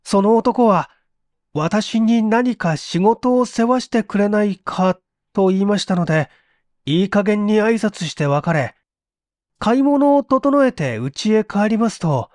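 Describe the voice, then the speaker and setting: A man voicing an agitated, desperate accused man giving halting, strained testimony in a story reading. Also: sits high, expressive, darkish tone, no echo, clean studio sound, voice pushed up. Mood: agitated pleading.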